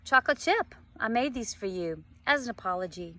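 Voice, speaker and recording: A woman's voice: fairly high pitch, lively intonation, a little fast, bright, forceful tone. A woman voicing an apologetic, evasive schoolgirl in a storybook, light and conversational. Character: schoolgirl friend, apologetic, evasive, brushing off worry.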